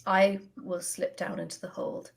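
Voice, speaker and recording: A woman playing a tabletop role-playing game, improvising in character with deliberate, rather formal phrasing. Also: medium pitch, level tone, gravelly.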